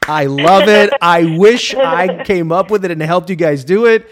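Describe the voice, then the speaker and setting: A male talk host with a voice pitched a little on the high side. He lays out his opinions with emphatic, effusive energy and often restarts a phrase mid-thought. He is from New York and grew up partly in Colombia. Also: a little slow. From born in New York, raised partly in Colombia. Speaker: host and critic.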